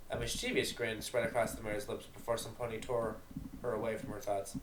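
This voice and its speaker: A man's voice: fairly low pitch, level tone, brisk, background noise. A man reading narration for an amateur dramatic reading of a fan fiction story. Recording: roomy, echoing home recording.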